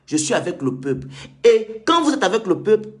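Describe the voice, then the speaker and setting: A man's voice: sits high, sing-song, a little fast. An insistent man hosts a call-in debate. He presses his points hard and trips over repeated words as he pushes ahead. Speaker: show host.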